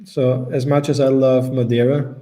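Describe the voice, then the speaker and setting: Austrian guy chatting on a live q&a, mellow darkish voice, kinda hesitant, restarts a lot. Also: mid-range voice, normal speed. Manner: relaxed, hesitant, restarts sentences. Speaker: co-host of a travel Q&A. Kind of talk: casual live chat.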